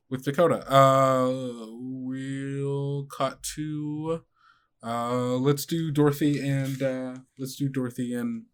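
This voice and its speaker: A man speaking informally and haltingly as a podcast host and game master. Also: mid-range voice. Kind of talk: casual announcements and tabletop game narration.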